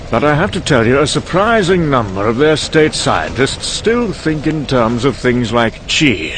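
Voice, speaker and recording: An actor playing a cynical, boozy British scientist delivering a sardonic, erudite monologue in an audio comic. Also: male voice, medium pitch, slight background noise. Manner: sardonic, erudite, with sudden bursts of temper.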